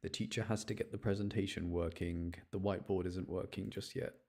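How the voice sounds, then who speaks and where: A man's voice: low voice, fairly even intonation, fairly quick, gravelly, a little mellow. A man giving casual, chatty live commentary as he streams a video game, talking to his viewers. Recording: music behind the voice.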